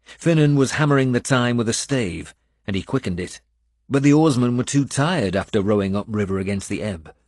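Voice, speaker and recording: A man reading a scripted first-person audiobook in a measured storytelling manner. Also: medium pitch, animated, a little mellow, dry recording, clean studio sound. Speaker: audiobook narrator.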